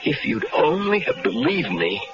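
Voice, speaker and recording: A bitter, cornered schemer. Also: male voice, fairly high pitch, wildly swooping pitch, normal speed, music in the background. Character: cornered male schemer, defiant then bitter. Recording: dull old radio broadcast with hiss.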